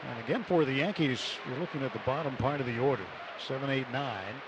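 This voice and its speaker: A man doing live baseball play-by-play on radio in measured phrases with clipped count calls. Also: medium pitch. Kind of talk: live radio sports commentary. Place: stadium broadcast booth. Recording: noisy live ballpark radio broadcast. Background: ballpark ambience.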